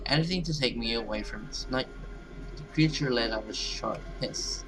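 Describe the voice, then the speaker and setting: A man plays through a horror visual novel as the host, with loud music behind him. He reads the story text expressively and dramatically, slipping into casual asides as he reacts. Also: mid-range voice, moderate pace, poor audio. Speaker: gaming video host. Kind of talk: video game let's play, reading story text aloud with reactions.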